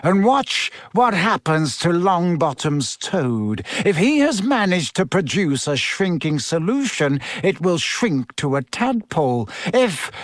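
A man's voice: fairly high pitch, dry recording, clean studio sound. A man voicing a strict, sneering male teacher in a storybook, cold, precise and sarcastic. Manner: cutting, precise, sarcastic, drawn-out words.

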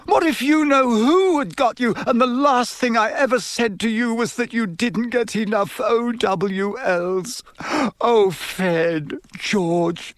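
A man's voice: extremely high pitch, slow pace, a bit bright, no room sound, studio quality, voice pushed up, heavily acted. A man voicing an anxious, fussing middle-aged mother in a storybook reading, with a distraught manner. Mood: tearful relief.

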